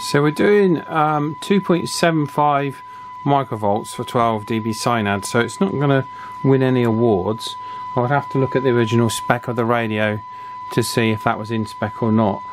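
A man's voice: mid-range voice, moderate pace, noisy background. A man giving an informal hands-on demonstration, hesitant and thinking aloud as he works. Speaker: radio repair hobbyist presenting. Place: indoor electronics workbench.

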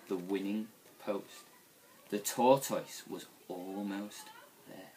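Young man, children's story reading, expressive, unhurried pace, mid-pitched, faint background noise. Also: low-quality recording. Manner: animated, deliberate storytelling delivery. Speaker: school staff member reading to young children.

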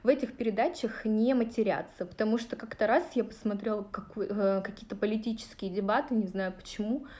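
A woman in her thirties from Russia talks straight to her viewers during a livestream. Her delivery is chatty and direct, peppered with fillers, and her pitch sits in a middle range for a woman. She is recorded on a phone held at arm's length in a small indoor room. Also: brisk, few pauses, a little mellow. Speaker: vlogger answering viewer questions. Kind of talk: casual livestream talk.

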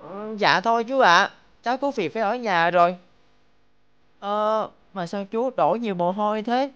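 A woman voicing a kind, naive and polite little village boy in an animated fairy tale. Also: mid-range voice, moderate pace, clean tone, dull audio, close to an everyday voice. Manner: naive, polite, thinking aloud to himself. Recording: background music.

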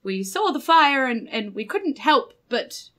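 A woman playing a polite, well-meaning bird adventurer with an apologetic, halting manner. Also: sits high, very animated, fairly bright tone, heavily acted.

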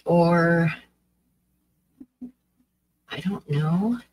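A woman's voice: on the low side, extremely slow, a little mellow, a little hiss or hum. Rambling woman in her sixties. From Oregon, US. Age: 60s. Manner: rambling, hesitant, many fillers. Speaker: livestream host. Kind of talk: casual chat with live chat. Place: small dim room with draped wall.

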